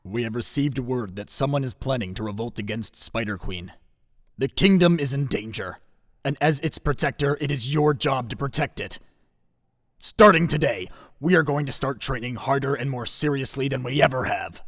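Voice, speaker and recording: An actor playing a solemn, firm mentor and guardian of a kingdom in an audio drama. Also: male voice, medium pitch, moderate pace, fairly bright tone, no room sound, studio quality. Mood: stern.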